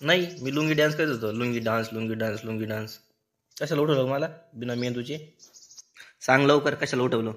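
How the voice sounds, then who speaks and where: A man's voice: medium pitch, a little fast, slight background noise, lightly acted. A man playing a grumpy, sarcastic son-in-law in a comedy cartoon, speaking with a rural Maharashtrian accent. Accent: rural Maharashtrian Marathi. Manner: complaining, mocking. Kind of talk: comedy cartoon dialogue in colloquial Marathi.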